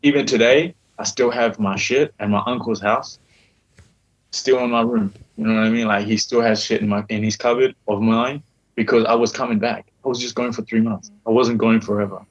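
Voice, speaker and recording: A man's voice: lowish voice, fairly even intonation, a little fast, muffled recording. A man in his thirties with a faint South African accent, a podcast guest telling his story candidly and swearing freely. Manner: candid, swears freely, repeats "you know what I mean". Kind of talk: casual interview storytelling.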